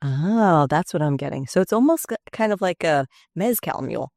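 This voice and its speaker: A woman hosting a podcast interview, chatty and jokey with quick reactions. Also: deep voice, animated, vocal fry, darkish tone, no room sound, studio quality. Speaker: podcast host.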